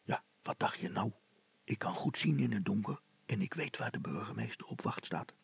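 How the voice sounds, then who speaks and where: A man playing a grumpy, cautious father who speaks in a hushed, grumbling tone. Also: animated, normal speed, vocal fry, husky, full character voice. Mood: gruff, hushed. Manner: hushed, grumbling, low-key. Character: grumpy but cautious father, older than his boy.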